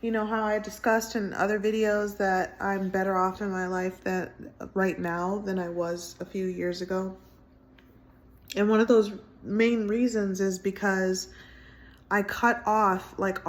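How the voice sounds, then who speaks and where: A woman in her thirties talking in a casual personal vlog from home, soft-spoken and rambling with many fillers. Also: medium pitch. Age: 30s. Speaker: vlogger. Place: indoors at home, window closed.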